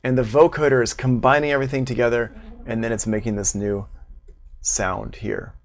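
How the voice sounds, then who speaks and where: A man in his thirties giving a casual, unscripted software tutorial as an instructor, thinking aloud as he goes. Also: lowish voice, creaky. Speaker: online music production instructor. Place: small room at home, indoors, livestream.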